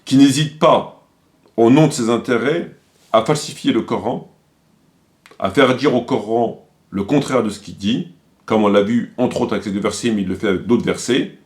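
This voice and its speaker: A man's voice: mid-range voice, moderate pace. A man giving argumentative, accusatory spoken commentary, insistently repeating phrases for emphasis. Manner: accusatory, polemical. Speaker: commentator presenting a video.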